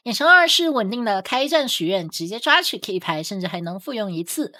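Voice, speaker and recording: Lively woman narrating a game guide. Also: mid-range voice, brisk. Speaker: game guide video host. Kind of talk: scripted game guide commentary voice-over.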